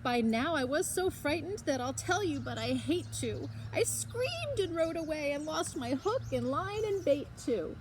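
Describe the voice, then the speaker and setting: High-pitched, animated woman reading a storybook. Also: background noise. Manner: animated, dramatic emphasis with drawn-out words. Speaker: librarian reading aloud to children. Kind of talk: expressive storybook read-aloud.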